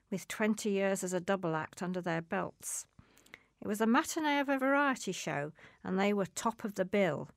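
A woman reads a literary memoir aloud at a measured, storytelling pace. Her voice sits at a middling pitch with plenty of creak, and the recording is close and dry. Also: dry recording. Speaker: reader. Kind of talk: scripted literary reading.

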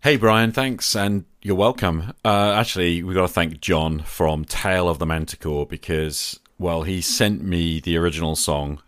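A man's voice: low-pitched, steady tone, moderate pace, hardly any pauses, clear, resonant tone, dry recording, clean studio sound. A middle-aged man hosting a podcast, talking reflectively and gratefully as he replies to listeners. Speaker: podcast host. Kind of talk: solo podcast talk replying to listener calls.

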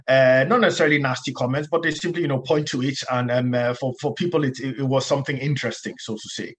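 A man's voice: medium pitch, fairly even intonation, quick pace, a bit bright. A man from Nigeria, a guest author, answering interview questions reflectively with many fillers and restarts.

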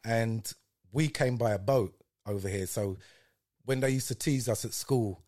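An older man from Guyana, telling stories as a podcast guest in a warm, devout way. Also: medium pitch, measured pace. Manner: warm, storytelling, devout greetings. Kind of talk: casual interview.

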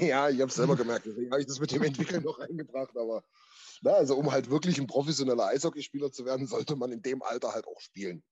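A man's voice: mid-range voice, fast, darkish tone, slightly rough, lo-fi sound. A man co-hosting a casual sports podcast, talking in a chatty, rambling way with frequent restarts. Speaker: podcast co-host.

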